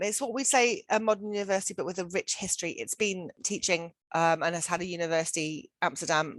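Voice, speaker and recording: A woman's voice: low-pitched, dry recording. A middle-aged woman, a study-abroad centre director, giving an upbeat, persuasive info-session presentation over a video call, often restarting her phrases.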